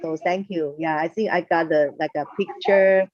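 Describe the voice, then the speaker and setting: A low-voiced woman from Taiwan, a primary school teacher, talking over a video call. She pauses often and fills gaps with fillers. The audio is dull and dry. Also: steady tone, no echo. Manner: hesitant, many fillers and restarts. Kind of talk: online meeting contribution.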